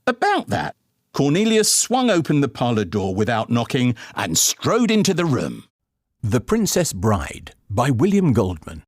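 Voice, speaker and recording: Guy narrating an audiobook, dramatic storytelling, bit husky, lively pitch, no room echo. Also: a little slow, clean studio sound.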